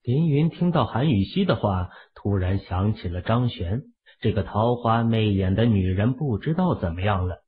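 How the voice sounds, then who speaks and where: Low husky guy reading an audiobook novel, thin phone-like sound. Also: expressive, moderate pace. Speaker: audiobook narrator. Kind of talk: scripted fiction narration. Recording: phone-like, band-limited audio.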